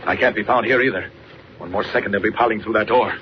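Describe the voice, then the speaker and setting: An actor playing a brave, commanding superhero in a 1940s radio serial, thinking aloud in urgent declamatory lines. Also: male voice, fairly low pitch, fast, close to an everyday voice. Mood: regretful, hurried. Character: male superhero reporter in secret detective action, brave and commanding. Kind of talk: 1940s radio serial acting, narrating his own actions. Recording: noisy, muffled 1940s radio recording.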